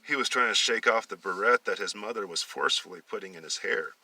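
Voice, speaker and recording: Male amateur storyteller, very bright tone, halting delivery, dry sound slightly off the mic. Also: lowish voice, dry recording, recorded from a distance, rough recording. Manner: halting, with misreadings and repeated phrases. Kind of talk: amateur dramatic story reading.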